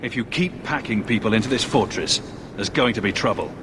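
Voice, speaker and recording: Man voicing determined fighter guy in a video game, kinda low, noisy background. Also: brisk, close to an everyday voice. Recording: clear background noise, ambience.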